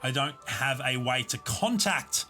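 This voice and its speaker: A man casting a live online game tournament, giving steady, explanatory play-by-play. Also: medium pitch, unhurried, soft background music. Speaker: esports caster and streamer. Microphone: desk USB condenser mic.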